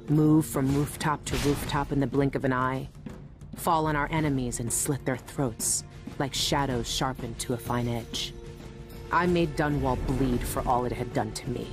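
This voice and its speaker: An actress playing a hardened, brooding former assassin in a video game, low-voiced, measured and terse, speaking with serious intent. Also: female voice. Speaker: protagonist and narrator. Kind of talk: brooding scripted game narration and dialogue. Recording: music under the voice.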